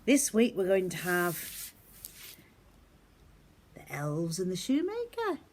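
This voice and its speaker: A woman's voice: fairly low pitch, wildly swooping pitch, slow pace, background noise. A woman reading a children's story aloud, addressing young listeners simply and directly like a primary teacher.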